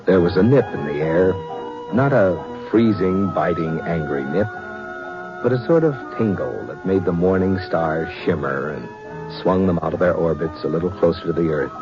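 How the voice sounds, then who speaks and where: An actor playing a folksy, lyrical Old West storyteller, narrating a radio drama in measured, poetic phrases. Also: male voice, low voice, expressive. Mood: wistful, atmospheric. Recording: muffled vintage radio, music behind.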